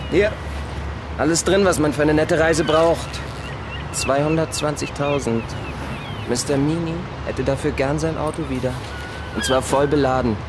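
An actor playing a confident young company courier in a radio drama, wry and politely formal. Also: male voice, a little high, normal speed, natural voice. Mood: sardonic, confident. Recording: loud traffic and engine ambience.

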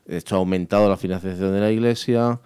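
A man's voice: deep voice, fairly even intonation, fast talker, dark tone, smooth, no echo, studio quality. A man moderating a radio panel discussion, probing and challenging the guests, often dropping in short acknowledgements.

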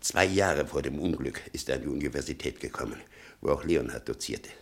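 An actor playing an embittered old father in a German radio drama, gravelly, muttering and brooding with sudden outbursts. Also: extremely low pitch, expressive, brisk, exaggerated voice. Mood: scornful. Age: older. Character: embittered old father obsessed with his convicted son.

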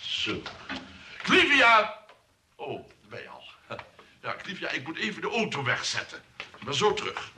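An actor playing a fussy, smitten bachelor in a comic radio play, stammering and repeating himself. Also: male voice, a little high, wildly swooping pitch, measured pace, pauses often, background noise. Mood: fussy, harried.

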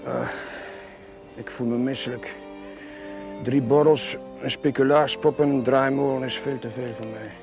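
A man's voice: mid-range voice, steady tone, a little slow, long pauses, music in the background, lightly acted. A man playing an earnest, stubborn young village curate in a television drama, slightly defensive in manner. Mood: weary. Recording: 1970s television drama soundtrack.

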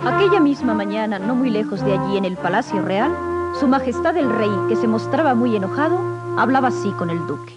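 A woman's voice: on the high side, expressive, a little fast. A woman narrating a scripted children's story on an old 1950s record. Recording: dull, lo-fi 1950s 78 rpm record. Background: music.

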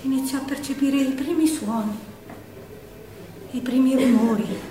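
A woman acting a shaken young partisan caught in a wartime air raid, in an emotive theatrical monologue with a Roman Italian accent. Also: sits high. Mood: dazed, grave. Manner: emotive, hushed to urgent, with weighted pauses. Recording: roomy echo, distant microphone.

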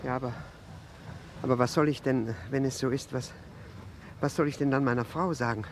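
An actor playing a fawning, anxious, henpecked husband who stammers and pleads hesitantly in a 1960s radio drama. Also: male voice, medium pitch, fairly even intonation, fairly quick, natural voice. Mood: anxious pleading. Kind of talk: 1960s German radio play acting. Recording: slightly noisy vintage radio recording.

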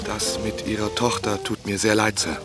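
Polite acted young writer asking questions. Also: male voice, lowish voice, level tone, moderate pace, close to an everyday voice. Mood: careful, sympathetic. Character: polite, persistent male writer questioning a bereaved father. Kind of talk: radio play dialogue. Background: loud music.

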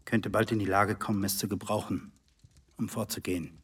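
An actor playing a weary, evasive secret agent and husband in a German radio drama, terse and guarded. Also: male voice, lowish voice, level tone, brisk, fairly bright tone, husky.

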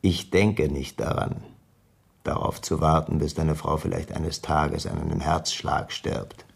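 Gravelly, very deep, cold blackmailer. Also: male voice, fairly even intonation, measured pace, exaggerated voice. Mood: cold, suspicious. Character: menacing, cold, suspicious ex-convict blackmailer demanding money. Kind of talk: radio crime drama acting.

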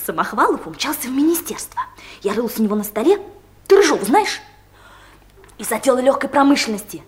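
Office secretary, female: gossipy, chatty, high-pitched, radio-play comedy style. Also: sing-song, brisk. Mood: gossipy, excited. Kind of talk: comedic audio drama dialogue.

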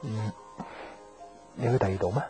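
A blunt young man played by an actor chats in a fairly low voice with a Hong Kong Cantonese accent. He is a skeptical, teasing friend in a radio drama, full of pointed questions, over faint music. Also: normal speed, warm tone, lightly acted. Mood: concerned. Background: faint background music.

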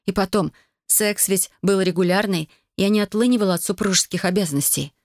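A reader voicing a hurt, bitter young wife and mother in an audiobook, reproachful and sharp. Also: medium pitch, steady tone, fast, dry recording, clean studio sound, pitched above the performer's own voice, lightly acted. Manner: reproachful, sharp, rising in anger. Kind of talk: audiobook character voice.

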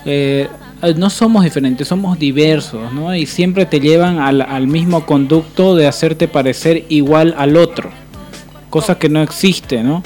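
A man's voice: on the high side, unhurried, a little mellow, faint music behind. A man with a Bolivian Spanish accent co-hosting a radio panel, speaking off the cuff in an opinionated, reflective way. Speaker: radio show co-host. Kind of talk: unscripted radio panel talk. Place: radio booth.

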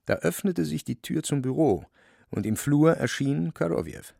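A man narrating a literary radio play as scripted storytelling, with a creaky voice. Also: fairly high pitch, expressive, normal speed, mellow, no echo, clean studio sound, exaggerated voice.

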